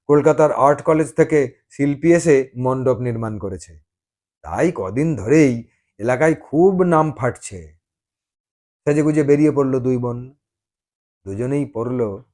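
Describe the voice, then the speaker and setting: A man reading a dramatized audio love story as storyteller, expressive and given to dramatic pauses. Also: medium pitch.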